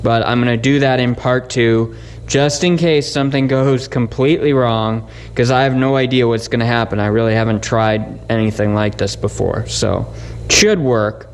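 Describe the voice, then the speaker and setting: A man's voice: mid-range voice, normal speed. A man hosting a DIY electronics video, explaining his project casually and informally, as if thinking aloud. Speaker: host of a DIY electronics channel. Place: indoor electronics workbench. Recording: faint steady background noise.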